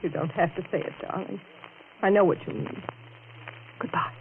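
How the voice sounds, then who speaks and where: A woman's voice: mid-range voice, normal speed, narrow, tinny audio, close to an everyday voice. Through a thin, faintly noisy 1940s radio recording comes a woman playing a devoted lover in a horror melodrama. She is resolute and urgent, with a commanding, dramatic delivery. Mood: tender.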